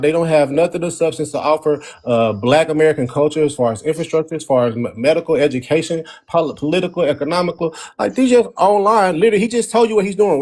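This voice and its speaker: A man hosts a livestream in loose, casual talk. He mocks his opponents and acts out their voices in an animated way, his voice sitting a little high. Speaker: livestream host.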